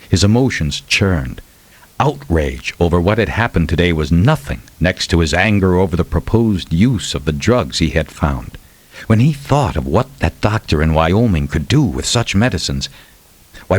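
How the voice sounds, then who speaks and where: Male narrator, deep-set pitch, dramatic fiction reading, expressive, deliberate, dry studio-like audiobook sound. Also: dry recording.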